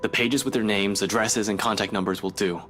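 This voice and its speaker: A man's voice: on the low side, fairly even intonation, brisk, bright, forceful tone, a little hiss or hum. An actor playing a blunt, matter-of-fact male police officer. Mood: grim.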